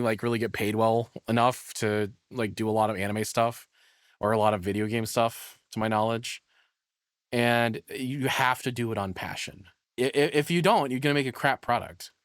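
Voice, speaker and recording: A man's voice: mid-range voice, a bit bright, no echo, clean studio sound. A man giving casual reaction commentary as a streamer, rambling, opinionated and free with swearing.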